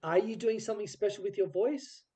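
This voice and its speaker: A man's voice: high voice, brisk, warm tone. A man hosting a podcast, interviewing guests in a casual style and setting improv prompts.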